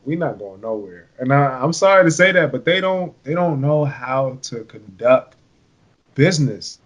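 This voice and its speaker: A man's voice: medium pitch, expressive, normal speed, muffled recording. A man co-hosting a sports podcast, ranting in frustration about his team's management. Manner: exasperated, ranting fan. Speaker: podcast co-host. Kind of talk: casual sports banter.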